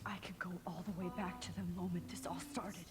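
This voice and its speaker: A woman's voice: fairly low pitch, steady tone, brisk, close to an everyday voice. A woman playing an introspective, determined teenage student in a video game, talking as if thinking to herself. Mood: earnest. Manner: hushed inner monologue.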